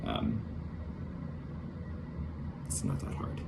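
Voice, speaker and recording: A man's voice: very slow, darkish tone, slight background noise. A man casually hosting a comedic reading series, with dry, wry humor. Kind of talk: casual intro to a dramatic reading.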